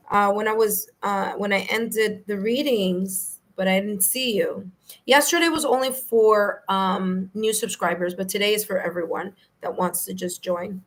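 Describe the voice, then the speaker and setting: A woman with a New York accent and a slightly hoarse, raspy voice, hosting a live tarot stream in a friendly, chatty way. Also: mid-range voice. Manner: friendly, chatty, laughs easily. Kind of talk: casual live-stream chat, greeting viewers.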